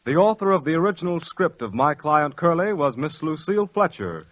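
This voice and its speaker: A male announcer with a high voice introduces a program in scripted 1940s radio style. The sound is dry and close, on a dull old recording. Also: very animated, a little fast, no room sound.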